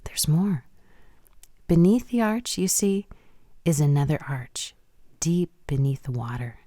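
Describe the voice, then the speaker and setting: A woman's voice: deep voice, expressive, a little slow, mellow, no echo, clean studio sound. An actress playing a proud, coy young Japanese woman who teases and tells tales with precise wording in a radio play. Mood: hushed, mysterious.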